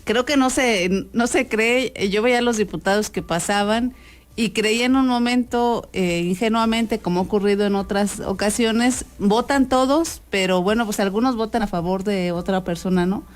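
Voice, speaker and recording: A Mexican woman reports the news live in a medium-pitched voice. She talks conversationally to the host, feeling her way through long, winding sentences. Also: moderate pace.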